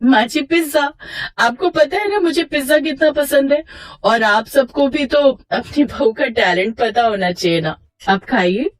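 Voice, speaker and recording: A woman's voice: sits high, lively intonation, unhurried, faint music behind. A woman voicing a cheerful, stubborn young daughter-in-law, sweet but insistent, in a moral story. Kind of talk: acted moral story for children.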